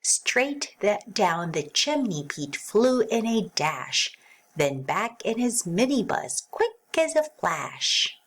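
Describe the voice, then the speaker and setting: A woman reading a rhyming children's storybook aloud as narrator, in an expressive sing-song way. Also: mid-range voice, slow, slightly rough.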